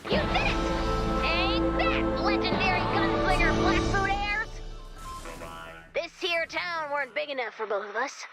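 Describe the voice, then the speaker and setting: A woman voicing an excitable, upbeat robot companion in a video game, with a theatrical, emphatic and enthusiastic delivery. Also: very high-pitched, unhurried, no echo, soft background music, full character voice. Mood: triumphant, playful. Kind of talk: animated video game voice acting.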